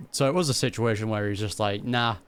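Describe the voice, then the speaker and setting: A man's voice: on the low side, brisk, a bit bright, clear, resonant tone. Laid-back man commentating over a game. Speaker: gaming reaction streamer. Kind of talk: casual playthrough commentary.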